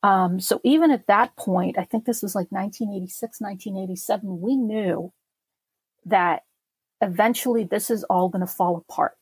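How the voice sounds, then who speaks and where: A woman's voice: medium pitch, normal speed, breathy edge, no echo, low-quality recording. A middle-aged woman from Czechoslovakia, a podcast guest recalling her childhood in a reflective way, with many fillers and restarts. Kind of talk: personal memoir interview.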